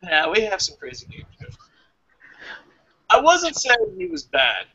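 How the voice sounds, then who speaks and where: A man's voice: sits high, a little slow, breathy edge, highs cut off. A man hosting a casual wrestling review show, joking and swearing freely as he reads out listener comments. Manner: profane, joking, animated.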